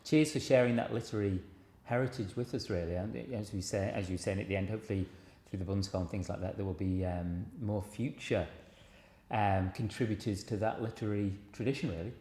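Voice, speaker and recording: A man's voice: fairly low pitch, steady tone, a little fast, warm tone. A male interviewer asking casual, rambling questions with fillers. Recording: echoey room.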